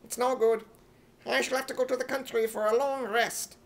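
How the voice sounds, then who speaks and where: A man's voice: extremely high pitch, fairly even intonation, moderate pace. A man voicing a pompous, eccentric author in an imitation British accent for radio comedy. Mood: weary.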